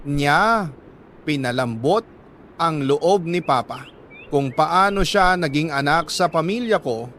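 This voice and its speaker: A man with a Filipino accent reads a sentimental letter as a radio storyteller, in a measured, heartfelt, dramatic way with deliberate pauses between phrases. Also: a little high, a bit bright, a little hiss or hum.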